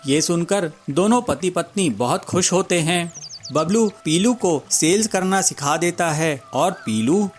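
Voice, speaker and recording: A man narrating a scripted children's story as the storyteller. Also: sits high. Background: music.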